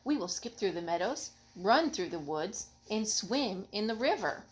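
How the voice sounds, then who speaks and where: A woman voicing an eager, friendly male frog in a children's story, calling out in an excited, urging way. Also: medium pitch, moderate pace, natural voice, rough recording. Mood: bright, hopeful. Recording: home recording with background noise.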